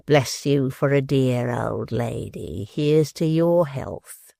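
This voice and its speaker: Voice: mid-range voice, big pitch swings, vocal fry, no echo, studio quality, full character voice. A reader voicing Quilp, a malicious, cunning male dwarf who drawls with false affection. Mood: mocking. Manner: slow, mocking, falsely affectionate.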